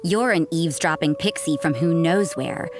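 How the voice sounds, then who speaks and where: A woman's voice: low voice, moderate pace, close to an everyday voice. A woman playing a cool, sardonic church sister in a video game, with a dry, cutting and suspicious way of talking.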